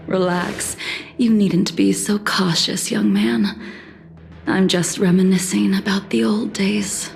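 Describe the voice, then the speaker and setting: A cool, ancient swordswoman from a fantasy game. She speaks slowly and serenely in a breathy hush with a creaky edge, aloof and faintly menacing. Soft music sits behind her. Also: medium pitch, animated. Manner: unhurried, composed, wistful. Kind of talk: video game voice acting.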